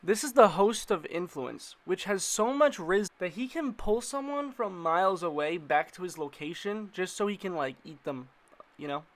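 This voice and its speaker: A man with a slightly high voice narrates an explainer video. He keeps it informal and conversational, dropping slang and playful asides into his commentary. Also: expressive, moderate pace.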